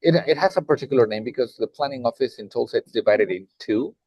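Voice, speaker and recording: A man from Mexico with a Mexican Spanish accent, a nonprofit director talking in a roundtable, halting with many fillers and restarts, heard over a video call. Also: mid-range voice, no echo, highs cut off. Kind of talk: roundtable discussion.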